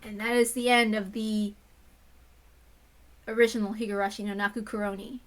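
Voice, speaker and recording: A woman's voice: medium pitch, flat delivery, moderate pace. A woman reading a visual novel aloud and chatting casually between parts. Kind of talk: casual read-aloud narration.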